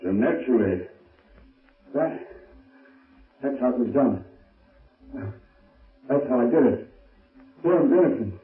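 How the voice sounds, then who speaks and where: An actor playing a mortally wounded man making a desperate confession, halting and laboured, in 1930s radio drama style. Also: medium pitch, animated, natural voice. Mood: weak, desperate. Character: dying shot male impersonator making a desperate confession. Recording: distant, echoey, muffled old radio recording.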